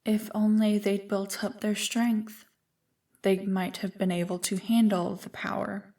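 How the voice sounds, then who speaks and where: A woman voicing an ancient, evil primordial being in an ASMR roleplay, smug and tempting, with a deliberate, taunting delivery. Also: medium pitch, level tone, warm tone, recorded from a distance, natural voice. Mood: ominous, coaxing. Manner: slow, deliberate, taunting, coolly amused.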